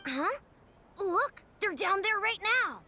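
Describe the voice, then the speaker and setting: Very high-pitched cheerful little kid. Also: female voice, lively intonation, slow pace, noisy background, heavily acted. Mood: excited, cheerful. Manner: childlike, chirpy. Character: cheerful, friendly little child who loves snacks. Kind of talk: video game voice acting.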